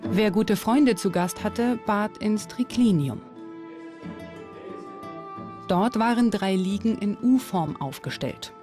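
A woman's voice: mid-range voice, animated, slow pace, pauses often, music in the background. A woman presenting and narrating a documentary in scripted sentences.

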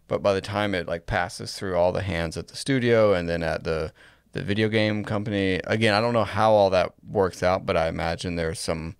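Deep-voiced man, clean resonant tone, measured podcast co-host, casual chat. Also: clear, resonant tone, dry recording, clean studio sound. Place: small podcast studio. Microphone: close boom-arm studio mic.

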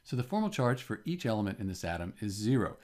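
A man's voice: on the low side, very animated, quick pace, a little mellow. A man teaching chemistry in an informal tutorial style, explaining methodically step by step.

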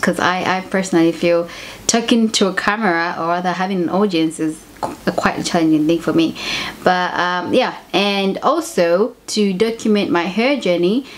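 A woman's voice: fairly low pitch, slight background noise. A young woman from Zambia, talking to camera in a casual vlog, chatty and often correcting herself mid-sentence. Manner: chatty, self-correcting, conversational. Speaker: YouTuber. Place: home room with bookshelves. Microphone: camera at desk distance.

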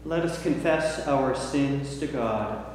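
Older male priest, measured liturgical delivery, very echoey sanctuary with a faint hum. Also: medium pitch, a little mellow. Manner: measured, solemn recitation. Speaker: priest leading worship. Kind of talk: liturgy and prayers. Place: large church sanctuary, indoors. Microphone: far camera. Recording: echoey church from far off.

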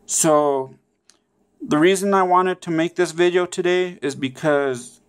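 A man with a fairly high, clean and smooth voice walks through instructions step by step. His delivery is casual and informal, and he keeps pausing to check in as he teaches. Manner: repeatedly checks in with "okay" and "all right". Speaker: tutorial presenter.

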